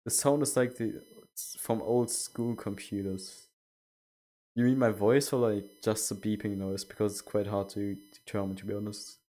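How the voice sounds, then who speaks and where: Young man, low-pitched, casual gaming stream chatter, rambling. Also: fairly even intonation, moderate pace, warm tone. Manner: rambling, self-correcting. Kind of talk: casual chat while gaming.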